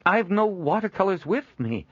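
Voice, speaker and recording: A man's voice: sits high, sing-song, normal speed, fairly bright tone, no room sound, dull audio, voice pushed up, full character voice. A man voicing a shy young male artist who speaks tentatively and stammers. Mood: unsure.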